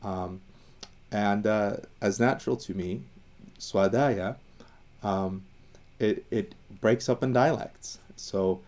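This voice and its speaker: Low, mellow man explaining language history. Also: slow, long pauses. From Iran. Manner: discursive, thinks aloud with fillers and restarts. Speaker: educator on Assyrian language and identity. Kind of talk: casual explanatory talk.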